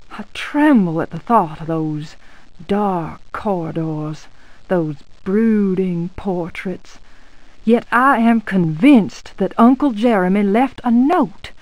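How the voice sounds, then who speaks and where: An actress playing an anxious but resolute genteel niece, narrating a gothic horror game in a formal, dramatic manner. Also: female voice, medium pitch, no echo, poor audio. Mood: determined, fearful. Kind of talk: scripted game monologue narration.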